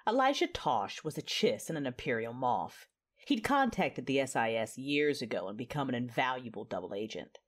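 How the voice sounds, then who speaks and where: A woman's voice: a bass voice, very animated, moderate pace, exaggerated voice. A woman acting as an intense, passionate Jedi knight, narrating her own story in a confessional, introspective audio drama style. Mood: fond. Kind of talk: first-person audio drama narration with dialogue.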